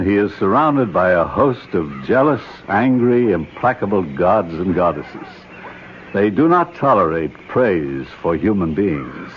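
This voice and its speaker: A low male voice plays a stern, condescending expedition leader in an old American radio drama. He lectures with formal authority. The sound is dull, with faint broadcast noise. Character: stern, condescending older archaeologist uncle leading a jungle expedition.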